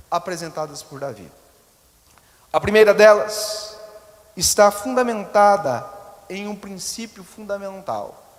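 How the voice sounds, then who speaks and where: A Brazilian man in his forties with a Brazilian Portuguese accent, preaching a sermon as pastor and talking to the congregation with storytelling and rhetorical asides. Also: high voice. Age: 40s. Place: church sanctuary. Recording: long reverb of a church hall.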